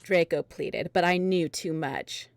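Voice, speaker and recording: A woman with a very low voice narrates fan fiction as a dramatic reading. The sound is dry and close, with no room around her. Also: a little slow, no echo.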